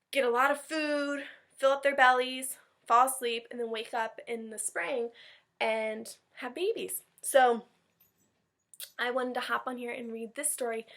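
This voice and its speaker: A woman's voice: on the high side, fairly bright tone. A woman teacher chatting informally and animatedly to young children during a read-aloud.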